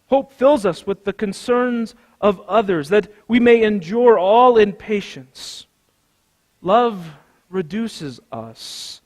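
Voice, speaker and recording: A male pastor with a high-pitched voice reads a devotional text solemnly in a church. His delivery is slow and declamatory, each phrase carefully set apart. The sound carries a long hall reverb. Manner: deliberate, declamatory, measured phrasing. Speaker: pastor reading at a church service. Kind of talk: solemn scripted devotional reading. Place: large church during a service.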